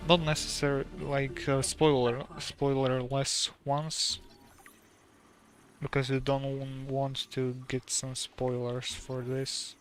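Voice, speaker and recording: A soft-spoken streamer with a Slovak accent talks slowly and calmly. His pitch stays very even, and faint game music plays underneath him. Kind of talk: casual gameplay commentary.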